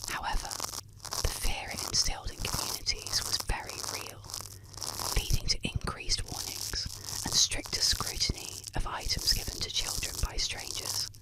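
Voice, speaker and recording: A woman telling scripted bedtime stories in a hushed, breathy ASMR whisper, unhurried and carefully enunciated, close to the microphone. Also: low-quality recording. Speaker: ASMR storyteller and host.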